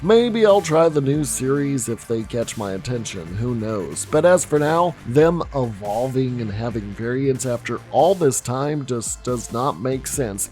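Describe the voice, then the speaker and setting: Man, hoarse, raspy, sardonic scripted commentary, blunt, faint music underneath. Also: mid-range voice, darkish tone. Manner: blunt, sardonic, swears for emphasis. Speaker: video essay host.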